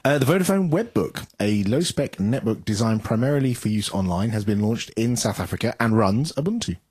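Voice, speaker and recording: A man's voice: fairly low pitch, animated, a little fast, darkish tone, slightly rough, no echo. A man co-hosting a podcast in casual, chatty banter about news, with a dry, teasing sense of humour. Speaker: podcast co-host.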